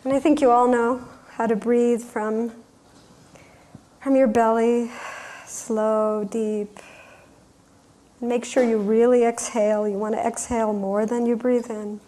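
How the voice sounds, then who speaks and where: Female guided-visualization leader, very slow delivery, slightly high pitch, gentle and soothing, short phrases with pauses. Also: flat delivery. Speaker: workshop leader guiding a visualization. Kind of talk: guided meditation, unhurried storytelling instruction.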